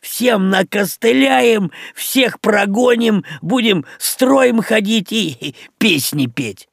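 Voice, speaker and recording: Man voicing a tiny male fly creature, shrill, excitable, pushy, very high-pitched, slow drawn-out delivery, dry close sound. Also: creaky, no echo, exaggerated voice. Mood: rousing. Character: cheeky hyperactive little fly creature, male.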